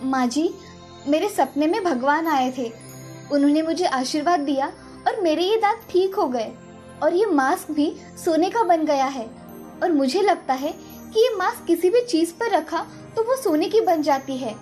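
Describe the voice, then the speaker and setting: An anxious young daughter-in-law from a moral tale, played by a woman. She is meek and deferential, with a very high voice. Quiet music runs underneath. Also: expressive, a little slow. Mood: excited. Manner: pleading, deferential.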